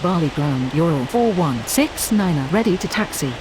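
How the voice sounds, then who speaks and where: Over clear background noise, a woman makes aviation radio calls. Her voice is slightly low and moves around in pitch. The wording is clipped and procedural. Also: lively intonation, moderate pace. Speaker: airline pilot and air traffic controller radio voice. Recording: clearly noisy audio.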